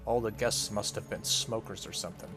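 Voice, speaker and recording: A man's voice: on the low side, steady tone, a little fast, music in the background, close to an everyday voice. A man voicing a frank, uneasy teenage girl in a visual novel, telling her story in an earnest, conversational way.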